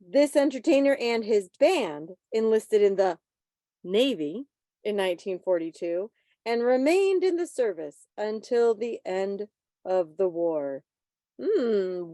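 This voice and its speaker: A woman with a fairly high voice hosts a quiz in a chatty, informal way. She teases playfully as she goes, and the sound is dry and close, with little room in it. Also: mellow, no room sound. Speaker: quiz host at a club meeting. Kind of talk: casual quiz presenting on a video call. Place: online video meeting.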